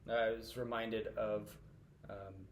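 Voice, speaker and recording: A man who is a pastor hosting a casual interview, speaking in a relaxed way with many uhs and ums. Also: fairly low pitch, slow pace, a little hiss or hum. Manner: relaxed, filler-heavy, uh and um. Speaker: host, pastor.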